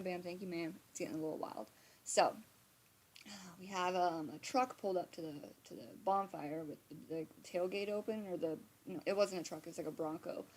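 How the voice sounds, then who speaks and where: Woman in her thirties, rambling storyteller with a slightly low voice, lots of fillers and restarts. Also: a little mellow, low-quality recording. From Montana, USA. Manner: swears casually. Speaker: live storytime streamer. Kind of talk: casual storytelling livestream. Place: home, indoors. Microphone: phone or webcam on a live stream.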